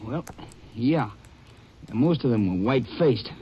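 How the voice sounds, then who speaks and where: A man's voice: medium pitch, animated, a little slow, lightly acted. Guy acting hurt young american rancher, earnest and bitter, old radio play, dull with a bit of noise. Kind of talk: 1950s radio drama acting.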